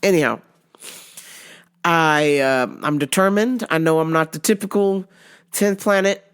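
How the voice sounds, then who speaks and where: A woman's voice: low-pitched, measured pace, clear, resonant tone. A woman hosting her own podcast, congested with a sore throat, rambling casually, swearing a lot and laughing often. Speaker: podcast host. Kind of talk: casual solo podcast monologue.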